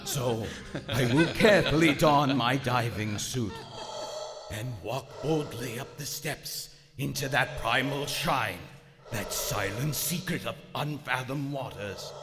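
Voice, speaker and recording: A man's voice: medium pitch, close to an everyday voice. Man acting a doomed submarine commander, echoing hall. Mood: unhinged. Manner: formal, deliberate, brooding. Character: proud German WWI submarine commander slipping into madness. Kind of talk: dramatic first-person radio narration. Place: large theater stage. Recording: live theater stage, long reverb, music underneath.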